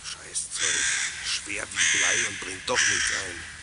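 An actor playing a grumbling, scheming crook of a mechanic in a children's radio play, gruff and conspiratorial. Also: male voice, low-pitched, steady tone, slow pace, heavy background noise.

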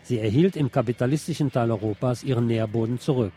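A man's voice: mid-range voice, quick pace, mellow, slightly rough, soft background music. A man presenting a radio magazine, reading scripted news commentary aloud.

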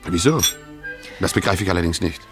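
An actor in a German radio drama playing a proud, stubborn young tutor, wounded and defiant, with a pointed, questioning manner. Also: male voice, sits low, expressive, fairly quick, music in the background. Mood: probing.

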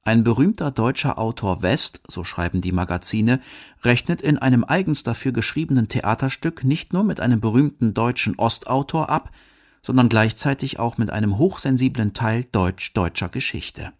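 A man's voice: mid-range voice, lively intonation, moderate pace. A man reading scripted narration for a radio documentary drama.

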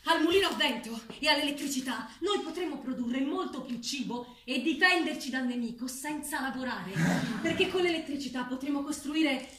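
A woman's voice: high-pitched, moderate pace, fairly bright tone. A woman playing an idealistic, persuasive farm animal in a stage play, addressing others in a declamatory way. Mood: rousing. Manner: declamatory, explaining to a gathering. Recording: roomy, distant, clearly noisy stage recording.

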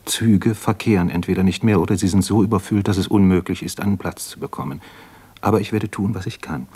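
An actor playing an earnest, homesick young doctor and poet in a reflective, intense 1950s German radio drama style. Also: male voice, sits low, steady tone, fairly quick, warm tone, breathy edge.

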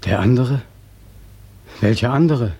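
An actor playing a weary, bitter soldier home from war in a radio play, speaking in an anguished, brooding and defiant way. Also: male voice, medium pitch, animated, measured pace, gravelly, dark tone, breathy edge, full character voice. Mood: wary. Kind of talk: German radio play acting.

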